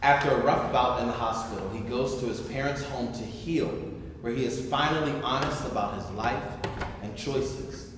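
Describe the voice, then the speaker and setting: A man's voice: medium pitch, normal speed, a bit bright. A man hosting a live staged reading, narrating stage directions and giving introductions. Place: large echoey room before a live stream. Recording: echoey hall, far mic, faint noise.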